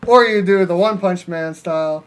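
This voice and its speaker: A man's voice: sits high, normal speed, slight background noise. A man chatting casually as a podcast co-host, in a crude, slangy way with plenty of swearing. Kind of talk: casual profane chat pitching story ideas.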